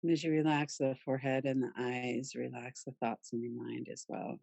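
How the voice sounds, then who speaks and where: A woman leading a guided relaxation meditation in a soothing, gentle, unhurried manner with pauses. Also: rumbling low, flat delivery, vocal fry, dry recording, muffled recording. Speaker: yoga nidra meditation guide.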